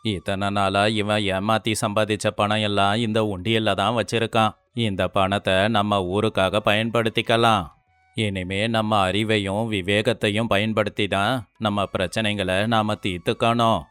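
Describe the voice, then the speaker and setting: A man's voice: fairly low pitch, flat delivery, normal speed, a bit bright, clear, resonant tone, no echo, faint music behind, lightly acted. A man acting an indignant, accusing villager who exposes a fraud in a moral-story drama. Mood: earnest, preaching.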